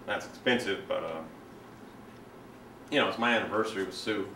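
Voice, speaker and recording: Man performing self-righteous young man, halting confiding monologue, colloquial, resentful, echoey room, distant mic. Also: low voice, pushed and bright, a little hiss or hum. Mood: casual, confiding. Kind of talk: dramatic interpretation monologue.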